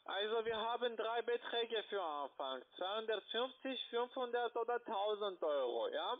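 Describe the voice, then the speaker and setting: Male telesales caller, non-native with foreign accent, high voice, insistent and halting, thin phone-line sound. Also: big pitch swings. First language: not German. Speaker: call-centre investment salesperson. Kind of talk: phone sales pitch. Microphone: phone call. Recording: tinny narrow-band phone line.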